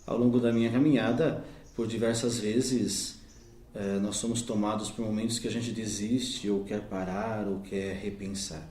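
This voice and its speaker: A man's voice: on the low side, steady tone, normal speed, darkish tone, echoey room. A Brazilian man in his thirties, a deacon, giving an earnest, reflective personal faith testimony. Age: 30s.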